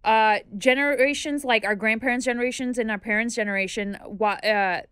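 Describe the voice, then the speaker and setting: Earnest woman guest, smooth clear voice. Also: on the high side, steady tone, fairly quick, a bit bright, no echo, studio quality. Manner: earnest, thoughtful, lists points with frequent fillers. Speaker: podcast guest, activist. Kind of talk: casual interview conversation. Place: small podcast studio with foam panels. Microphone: studio microphone on boom arm.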